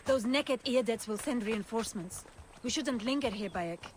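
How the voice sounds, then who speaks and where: An actress playing a fierce, bitter rebel fighter in a video game cutscene. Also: female voice, on the high side, animated, normal speed, lightly acted. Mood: relieved, urgent. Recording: faint outdoor ambience noise.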